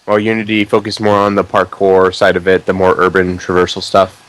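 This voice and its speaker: Brash young man, low voice. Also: completely flat delivery, a little fast, a bit bright, rough recording. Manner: brash, joking, swears freely. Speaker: podcast host. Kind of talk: casual chat.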